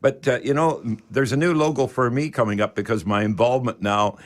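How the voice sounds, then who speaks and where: A man's voice: medium pitch, a little fast. Folksy man hosting radio. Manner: folksy, rambling, hesitant phrasing. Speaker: radio show host. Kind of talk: live call-in radio show talk. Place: radio studio.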